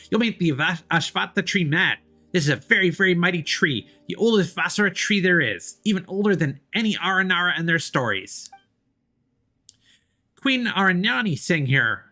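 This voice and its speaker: A man voicing a gentle forest spirit in a video game, reverently telling old lore. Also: high voice, heavily acted. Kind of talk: reading game lore in character.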